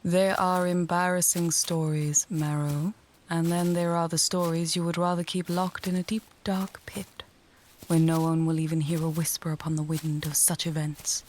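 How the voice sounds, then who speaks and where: A woman playing a wry, confident mage and healer in a fantasy audio tale, speaking in a measured, articulate, dryly teasing way. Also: lowish voice, little pitch movement, a little mellow, a little hiss or hum, natural voice. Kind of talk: fantasy audio roleplay, addressing the listener.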